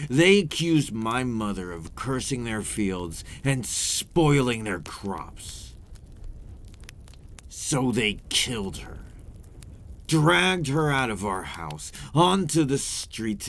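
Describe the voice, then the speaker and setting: Man playing a very deep, brooding vampire. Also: exaggerated voice. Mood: bitter, grieving. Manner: measured, formal, confessional storytelling. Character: brooding, remorseful male vampire captor, centuries-weary. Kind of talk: intimate ASMR roleplay monologue.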